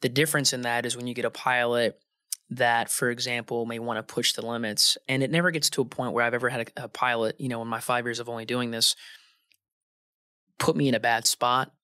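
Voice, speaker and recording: A man's voice: medium pitch, fairly quick, clear, resonant tone, no echo, studio quality. A man working as an air traffic controller talks informally in an interview, telling stories with slang and acting out bits of dialogue. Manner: animated, informal, uses slang and quoted dialogue. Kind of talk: casual conversational storytelling, acting out radio exchanges.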